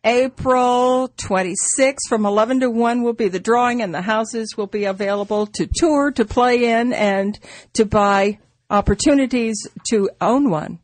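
A woman's voice: fairly high pitch, unhurried, no room sound. A woman co-hosting a radio show in casual, unscripted chat, with a teasing, interjecting manner. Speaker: radio co-host.

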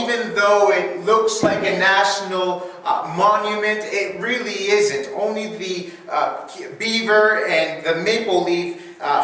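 A middle-aged man preaching a sermon as pastor, earnest and halting with restarts, recorded by a far camera in a dim church hall. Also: high-pitched, a bit bright. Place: dim church hall before a projection screen. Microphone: far camera, no close mic.

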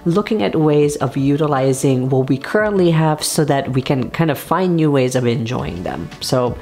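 Very low-voiced woman, chatty stationery vlogger. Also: expressive, normal speed, dark tone. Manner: chatty, conversational. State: voice recovering after losing it. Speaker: host of a stationery channel. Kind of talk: casual product showcase to camera.

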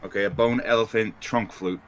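A man's voice: lowish voice, fairly even intonation, brisk, fairly bright tone. A man playing a tabletop role-playing game, narrating what his character does. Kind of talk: narrating own character's actions. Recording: music behind the voice.

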